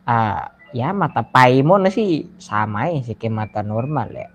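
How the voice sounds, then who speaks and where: A woman's voice: rumbling low, lively intonation, normal speed, dull audio. A woman with an Indonesian accent chatting casually and in slang as she livestreams a video game, reacting aloud. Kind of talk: casual gaming livestream chat. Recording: noisy livestream mic with clicks.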